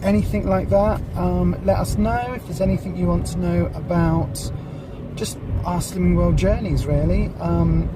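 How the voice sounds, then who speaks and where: A vlogger with a croaky voice from a cold, chatting in a rambling way with lots of ums from inside a car. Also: medium pitch, steady tone, moderate pace, distant mic, over music. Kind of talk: casual chat. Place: in a parked car. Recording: noisy car interior. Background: street and traffic noise.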